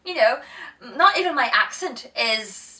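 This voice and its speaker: A woman's voice: high-pitched, animated, a little slow, pushed and bright, distant mic. A woman with an accent mixing Brazilian, American, English and Northern Irish, chatting casually about books to camera with lots of fillers and little laughs. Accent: mixed Brazilian, American, English and Northern Irish. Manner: chatty, giggly, many fillers and self-corrections. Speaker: book vlogger.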